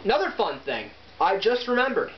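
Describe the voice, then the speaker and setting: A man's voice: high-pitched, sing-song, a little fast. A man giving an amateur book review in a casual, rambling, irreverent way, correcting himself as he goes. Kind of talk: casual rambling review with some reading aloud. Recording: muffled, noisy, roomy, distant mic.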